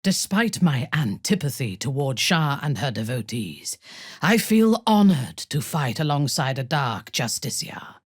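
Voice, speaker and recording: Husky, imperious dark elf noblewoman. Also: rumbling low, sing-song, no echo, studio quality, full character voice. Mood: wry, formal. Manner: commanding, deliberate, formal and haughty. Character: imperious, ruthless dark elf noblewoman warrior, coldly devoted. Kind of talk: dramatic fantasy video game acting.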